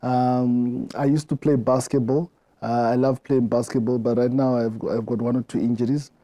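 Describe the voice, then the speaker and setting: A middle-aged man with a Zimbabwean accent, answering interview questions in a reflective way, often halting and repeating words. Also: medium pitch, steady tone, warm tone. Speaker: interview guest.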